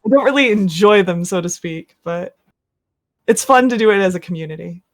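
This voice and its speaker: Podcast guest, medium-pitched, informal, thinking aloud as they talk. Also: a little fast. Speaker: podcast guest who plays games for an online audience. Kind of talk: casual, hesitant chat about games.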